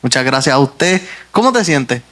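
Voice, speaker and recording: A man's voice: medium pitch, very animated, moderate pace, a bit bright. Earnest Puerto Rican man hosting. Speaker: podcast host.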